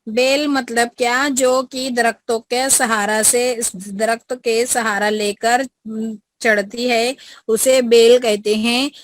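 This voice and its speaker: Teacher coaxing pupils on a video call. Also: female voice, fairly high pitch, steady tone, takes it slowly. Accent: Indian. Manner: coaxing, repeats prompts to pupils. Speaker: school teacher. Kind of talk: online class teaching.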